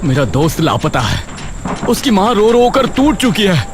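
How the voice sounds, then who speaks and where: An earnest young cartoon hero, voiced by a man who pleads urgently and stands up to authority. His voice sits fairly high for a man, with light background hiss. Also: sing-song, unhurried, exaggerated voice. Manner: pleading, then confrontational. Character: earnest, determined young man seeking help.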